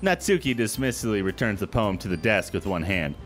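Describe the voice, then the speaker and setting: A man hosting a gaming video, reading a visual novel aloud with casual, expressive commentary. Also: lowish voice, fairly quick. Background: soft background music.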